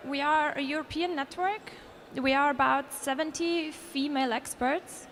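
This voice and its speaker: High-voiced Austrian woman presenting. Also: steady tone, normal speed, a bit bright, a little hiss or hum. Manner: earnest, with restarts and self-corrections. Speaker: conference presenter. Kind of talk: conference presentation. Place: conference hall.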